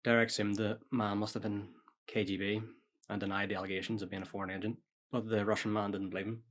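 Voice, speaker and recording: Male podcast storyteller, low-pitched, quick pace, casual delivery of prepared material. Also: level tone, a bit bright. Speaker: podcast host telling true-or-false stories.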